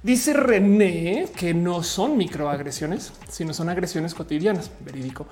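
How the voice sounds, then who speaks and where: A woman's voice: on the low side, measured pace, a little mellow. A woman with a Mexican accent hosting a casual livestream, reading out viewer comments and answering them in colloquial, slangy speech.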